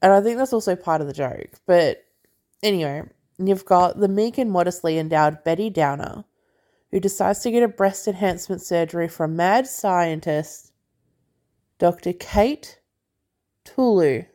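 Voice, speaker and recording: A woman hosting a movie review podcast in a relaxed, chatty way, laughing often and swearing casually, with a congested, stuffy voice. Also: on the low side, a little slow.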